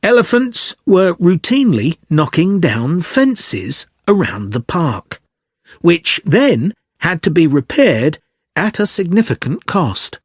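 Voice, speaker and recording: A man playing a zoology student giving a scripted, measured, explanatory presentation. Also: on the high side, very animated, slightly rough, dry recording, studio quality, full character voice. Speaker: actor in an exam listening recording.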